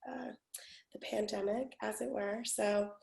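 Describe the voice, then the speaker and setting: A young woman, a music therapist, answering interview questions over a video call in a chatty, relaxed way, trailing off softly at the ends of phrases. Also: mid-range voice, unhurried, gravelly. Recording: roomy echo.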